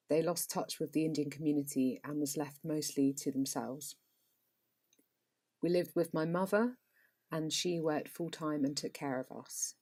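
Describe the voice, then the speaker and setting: A woman with a Received Pronunciation English accent hosting a podcast, talking casually and reading aloud in a flat, even way with frequent ums. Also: low voice. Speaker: podcast host.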